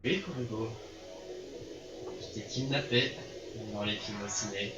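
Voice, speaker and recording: A man's voice: lowish voice, a little slow, over music, pitched below the performer's own voice, lightly acted. A man voicing a lovestruck, creepily sweet yandere kidnapper in a theatrical amateur visual novel style. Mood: menacing, obsessive. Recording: muffled, echoey, noisy amateur recording.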